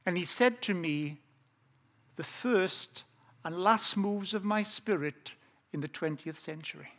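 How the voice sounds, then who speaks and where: A Welsh man preaching a personal testimony, with a voice pitched noticeably high for a man. He tells his story earnestly and slows down on the phrases that matter most. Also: a bit bright. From South Wales. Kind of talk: testimony sermon.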